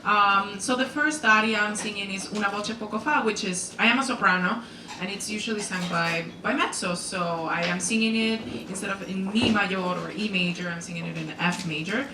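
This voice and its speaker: A Venezuelan-accented soprano, a native Spanish speaker, talking casually and self-deprecatingly before singing at a small salon. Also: female voice, medium pitch, brisk. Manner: chatty, self-deprecating, frequent ums. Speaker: guest soprano. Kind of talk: casual onstage introduction. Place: small salon performance venue. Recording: roomy, distant, noisy live room.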